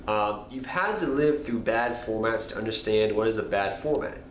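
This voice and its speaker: A man's voice: on the low side, animated, moderate pace. A man with a cold, sounding nasal, talking casually and rambling to his channel viewers. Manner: rambling, repeats himself, apologetic. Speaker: card game channel host. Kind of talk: casual talk to camera. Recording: echoey room, faint background noise.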